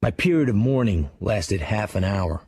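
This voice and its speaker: An actor playing a hard-edged crime writer narrating his dark memoir in a sardonic, clipped manner for a radio drama. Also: on the low side, lively intonation, normal speed, husky. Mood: sardonic, cool.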